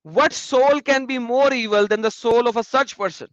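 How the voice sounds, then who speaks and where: A man hosting a casual discussion, animated and repeating his phrases. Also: extremely high pitch, moderate pace, dry recording. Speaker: host. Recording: muffled lo-fi sound.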